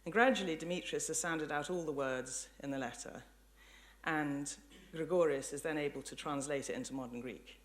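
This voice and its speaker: A woman lecturer speaks in a measured, articulate way. She explains ideas and tells illustrative stories as a professor would to the public. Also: sits low.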